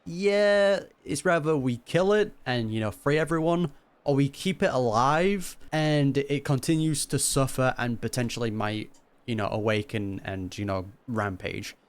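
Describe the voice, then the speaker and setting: Slangy male gamer with mid-pitched voice. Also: a little slow, clean tone. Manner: slangy, informal. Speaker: gaming YouTuber. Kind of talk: casual game reaction commentary. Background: faint game music.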